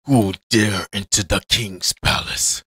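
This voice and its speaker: A man's voice: fairly low pitch, steady tone, slow, sharp tone, husky, no echo, clean studio sound, full character voice. A man voicing a haughty, menacing anime-style king in a pompous, taunting manner for a cartoon. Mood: commanding.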